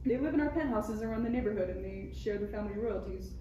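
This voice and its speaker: A woman's voice: mid-range voice, fast talker, warm tone, echoey room, dull audio, natural voice. Lady reading a sweet playful young princess, far from the mic, noisy room. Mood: friendly, matter-of-fact. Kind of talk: table read of a parody script.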